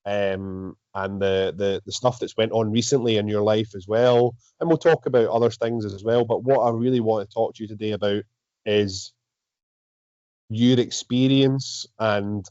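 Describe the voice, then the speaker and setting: Lowish-voiced Scottish man, halting interviewer. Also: steady tone, darkish tone, dry recording, lo-fi sound. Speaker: host, strength coach. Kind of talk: casual interview chat.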